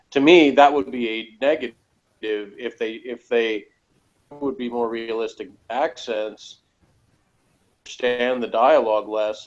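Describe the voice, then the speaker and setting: A man's voice: on the low side, dull audio. An American man in casual discussion, rambling a little and hesitant, speaking plainly. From United States. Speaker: podcast reviewer.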